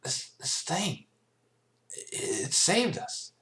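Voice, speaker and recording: A man voicing an earnest, excitable young filly in a cartoon story reading, halting and full of wonder. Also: sits high, echoey room, voice pushed up, exaggerated voice. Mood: awestruck.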